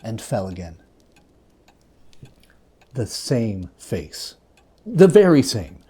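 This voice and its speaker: A male storyteller with a mellow voice and a very lively melodic range. He reads classic ghostly fiction dramatically, leaning theatrically into his phrases. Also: on the low side, drawn-out words, husky. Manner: theatrical, expressive, emphatic phrasing. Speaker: reader.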